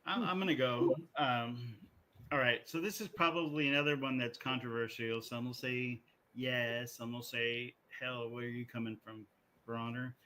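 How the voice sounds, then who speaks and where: Casual male podcaster, halting and rambling. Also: medium pitch, fairly even intonation, pushed and bright, a little hiss or hum. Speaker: podcast co-host. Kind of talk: casual chat about video game movies.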